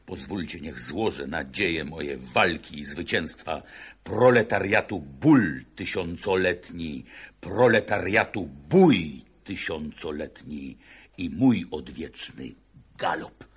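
An actor playing a fervent revolutionary fighter, orating bombastically with a husky voice in a radio play. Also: male voice, low voice, lively intonation, slow pace, exaggerated voice. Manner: bombastic, oratorical. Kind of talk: theatrical radio play declamation.

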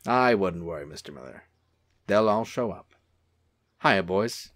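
Guy playing a charming angel in a radio play, lowish voice, lilting, easygoing and reassuring. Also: expressive, measured pace, a bit bright, dry recording. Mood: friendly, encouraging. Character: charming, unruffled angel posing as bishop's assistant. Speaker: actor in a drama. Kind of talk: audio drama acting.

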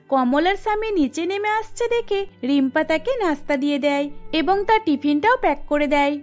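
A woman with a very high-pitched voice narrates a scripted cartoon story. Music plays behind her.

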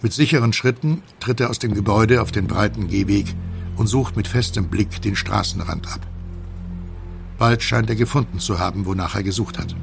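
A man narrating an audio drama from a script in a measured, deliberate way. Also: on the low side, creaky.

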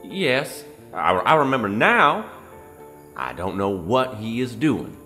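A man playing a frightened, reluctant informant in an audio drama, speaking in a halting, hesitant way. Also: medium pitch, sing-song, bright, forceful tone, exaggerated voice. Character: frightened, reluctant male informant pleading for his wife. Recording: long reverberant hall sound, faint music behind.

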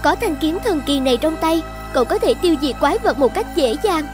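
A woman's voice: high voice, animated, brisk, over music. A woman voicing a small, plaintive, polite talking fish in a fairy-tale cartoon. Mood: grateful. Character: pleading, grateful little talking fish in trouble.

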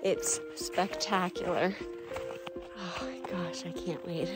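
A woman in her forties on a snowy forest trail outdoors, filming a casual walking vlog on a phone at arm's length and talking in a soft, awed voice that often drops to a whisper. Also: lowish voice, steady tone, slow pace, over loud music. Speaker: solo winter camper and vlogger.

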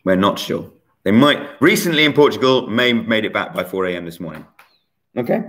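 A man in his forties hosting a casual online quiz from a bright room at home, chatty and teasing, recorded on a laptop webcam. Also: on the low side, animated, a little fast. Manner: chatty, teasing, self-mocking. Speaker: quiz host.

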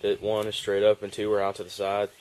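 Laid-back young man, flat voice. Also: on the low side, a little fast. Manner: laid-back, rambling with fillers. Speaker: fishing vlogger. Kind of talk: casual show-and-tell vlog. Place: outdoor wooden porch.

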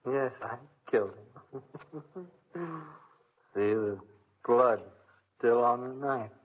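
An actor playing a drugged, dazed man lost in madness, speaking in a vacant, halting, repetitive way in a 1940s radio drama. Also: medium pitch, husky. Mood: dazed, eerie. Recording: tinny, thin 1940s radio recording.

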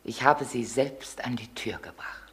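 An actress playing a guarded, intimidated housekeeper in a German crime radio drama, terse and reluctant, with a breathy voice. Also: female voice, a bass voice, a little slow, a bit bright, heavily acted. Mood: guarded, subdued. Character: guarded, intimidated housekeeper giving clipped answers.

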